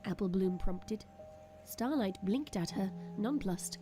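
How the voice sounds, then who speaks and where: A woman's voice: mid-range voice, lively intonation, slow pace. A woman reading scripted narration for an audio drama. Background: faint background music.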